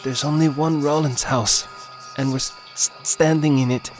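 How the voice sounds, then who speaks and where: Man voicing a stammering, frightened young Texan woman, pitched up. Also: steady tone, moderate pace, soft background music, lightly acted. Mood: exasperated, scared. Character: frightened, foul-mouthed, sarcastic young Texan woman, shivering.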